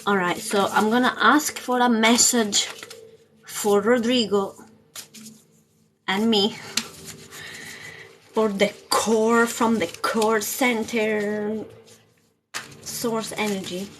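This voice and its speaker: A woman's voice: medium pitch, fairly even intonation, slow pace, pauses often, background noise. A woman chatting casually on a spiritual livestream as a tarot reader, in an informal, rambling, giggly manner. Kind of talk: casual live spiritual chat. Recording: music playing behind the voice. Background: music.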